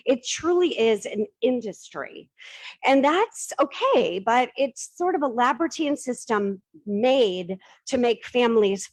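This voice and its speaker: A woman's voice: fairly high pitch, no echo, low-quality recording. A middle-aged woman giving a casual, self-deprecating talk to a live audience, tossing questions to the room. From Boston area. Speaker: startup pitch presenter.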